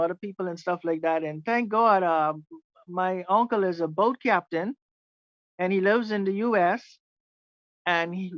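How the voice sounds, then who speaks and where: Bahamian trumpeter guest, chatty and rambling, dry dull lo-fi recording. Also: male voice, high-pitched, a bit bright, no room sound. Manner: rambling, many "you know" fillers. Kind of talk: casual storytelling interview.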